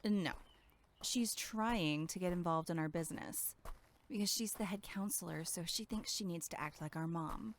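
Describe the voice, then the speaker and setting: A woman's voice: lowish voice, normal speed, natural voice. A woman playing a sarcastic, snippy young female camp counselor in a horror audio drama, speaking in a curt, sardonic way. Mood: grudging, annoyed.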